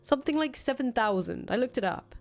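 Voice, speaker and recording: A woman's voice: a little high, lively intonation, a little fast, gravelly. A woman voicing a brash, grandiose young revolutionary leader in a visual novel, foul-mouthed, boastful and emphatic. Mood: angry, boastful. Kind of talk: visual novel character voice reading. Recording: music playing behind the voice.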